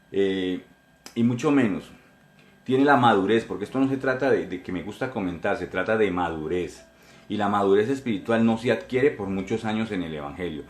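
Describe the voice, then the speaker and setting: A man's voice: on the low side, roomy sound. A man in his forties with a Colombian accent, a pastor giving an earnest testimony in a sermon, addressing listeners directly and often repeating himself. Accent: Colombian Spanish. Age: 40s.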